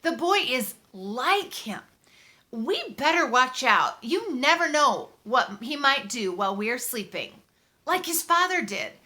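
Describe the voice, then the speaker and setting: A woman's voice: high-pitched, big pitch swings, takes it slowly, bright, forceful tone, full character voice. A woman voicing a wary, grumbling grandfather in a storybook, speaking in uneasy warnings.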